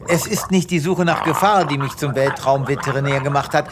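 A man's voice: fairly high pitch, noisy background, close to an everyday voice. An actor playing a self-important, easily exasperated male space vet in a comedic sci-fi audio drama, pompous and brusque. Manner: pompous, brusque, halting when flustered.

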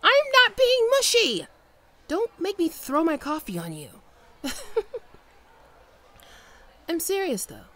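A woman's voice: extremely high pitch, wildly swooping pitch, slow, pauses often, pushed and bright, exaggerated voice. A woman playing a friendly young female ghoul best friend in an ASMR roleplay, chatty and playful. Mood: playful, affectionate. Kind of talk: ASMR roleplay, acted one-sided dialogue.

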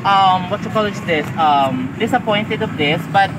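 A young man giving a casual garden tour in halting English, full of fillers, eager about his plants, recorded outdoors by a busy road. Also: sits high, expressive. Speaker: plant garden staff guide. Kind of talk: casual guided tour explanation. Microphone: handheld camera close by. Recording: outdoor camera audio, loud road noise. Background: loud traffic outside.

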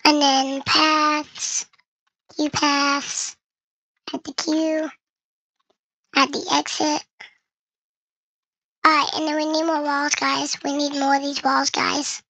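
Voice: high voice, little pitch movement, takes it slowly, pauses often, pushed and bright, no room sound, low-quality recording. A young-sounding gamer speaking through a voice changer, chatting to viewers and thinking aloud in casual game commentary. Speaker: gaming video creator.

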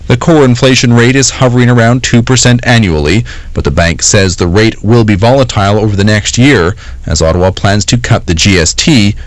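A man's voice: on the low side, dry recording. A man with a Canadian accent reading a scripted radio news report.

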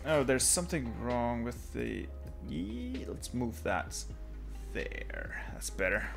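A man's voice: medium pitch, big pitch swings, takes it slowly, gravelly. A young man from Flanders whose first language is Dutch, giving casual, offhand commentary while playing a strategy game and chatting with viewers. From Flanders, Belgium. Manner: muttering to himself while playing, dry and offhand. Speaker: game streamer. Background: faint background music.

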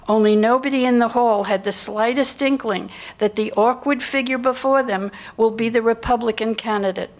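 A woman's voice: fairly high pitch, normal speed, few pauses. An older woman reading scripted historical narration into a lectern microphone. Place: indoor hall with seated audience.

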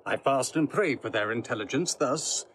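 An actor playing a solemn Roman soothsayer in a Shakespearean audio drama, speaking prophetic verse in a portentous, deliberate manner. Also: male voice, mid-range voice, expressive, fairly bright tone, slight background noise, natural voice.

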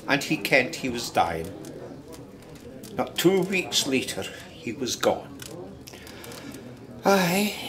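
An actor playing a plain-spoken, wry Scottish storyteller in a radio drama. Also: male voice, fairly high pitch, heavily acted.

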